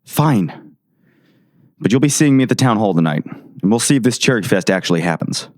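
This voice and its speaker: A man playing a curt, stubborn sheriff, swinging pitch. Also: lively intonation, normal speed, a little mellow, natural voice. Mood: defiant. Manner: curt, sarcastic, defiant. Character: stubborn, suspicious small-town 1960s male sheriff. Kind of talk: audio drama acting.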